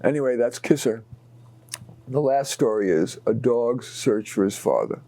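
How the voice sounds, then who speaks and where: A man with a mid-pitched voice reads short stories aloud. He tells them expressively and deliberately, pausing between short phrases for drama. The recording is dry. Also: animated, dark tone, no echo. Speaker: author reading own short stories. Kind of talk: expressive read-aloud storytelling.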